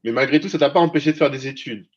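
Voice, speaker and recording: Male interviewer, calm and prompting; mid pitch, slightly dull recording. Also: very fast. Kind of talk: casual interview.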